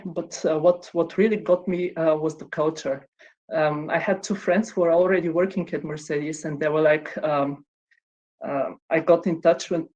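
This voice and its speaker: A woman gives a conference talk. Her pitch stays flat and level, and she often pauses on "um" and starts sentences over. Her delivery sounds measured and unhurried. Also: rough recording. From Bulgaria. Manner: hesitant, many ums and restarts. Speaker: conference speaker.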